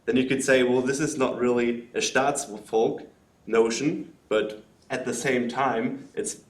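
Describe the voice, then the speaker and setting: A man from the audience asks a long, careful question at a lecture. He speaks loudly and pauses often with "um" and "uh." The recording is roomy and echoing. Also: mid-range voice, steady tone. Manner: loud, hesitant, many fillers. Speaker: audience member asking a question. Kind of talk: spoken question at a talk. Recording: roomy hall sound.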